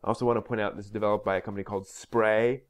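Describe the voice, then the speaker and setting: A man's voice: on the low side, flat delivery, racing. A man hosting an explainer video, speaking in an informal, conversational way with emphatic stresses and asides that trail off.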